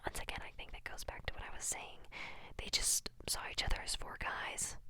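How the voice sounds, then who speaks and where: A young woman whispering softly for ASMR, reading quotes from a book aloud and adding giggly, chatty asides full of fillers, close to the mic. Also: brisk. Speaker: ASMR creator.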